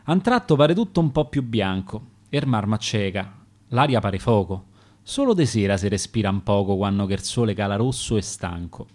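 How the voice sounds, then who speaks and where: A man's voice: fairly low pitch, expressive, normal speed, a little mellow. A middle-aged man with a Roman accent, reading his own rhymed dialect poetry in a rhythmic, declaiming way.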